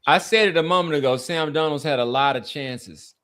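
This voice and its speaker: A man's voice: on the high side, brisk, fairly bright tone. A man hosting a sports podcast, debating casually and repeating phrases for emphasis.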